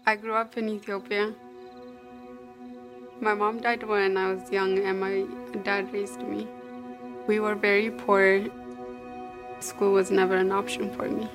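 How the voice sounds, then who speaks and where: A woman calmly narrating a first-person life story for a video testimony. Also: medium pitch, a little slow, long pauses. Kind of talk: scripted narration. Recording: voice-over with music behind.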